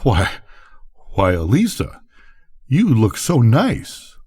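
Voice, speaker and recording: Older man as a bewildered, husky rancher husband. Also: medium pitch, wildly swooping pitch, slow pace, a little mellow, exaggerated voice. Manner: blundering, hesitant, folksy.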